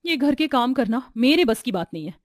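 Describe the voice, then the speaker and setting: Woman acting a whiny, lazy young wife, high-pitched. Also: big pitch swings, fairly quick, dry recording, studio quality. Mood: whining. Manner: complaining, self-pitying, wheedling. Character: lazy, whining young daughter-in-law.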